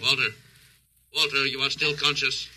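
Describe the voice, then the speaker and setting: A man's voice: medium pitch, flat delivery, moderate pace, noisy background, close to an everyday voice. A man playing a cold, logical alien interrogator in a 1950s radio drama, speaking in a stilted, formal, mechanical way. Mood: coldly insistent.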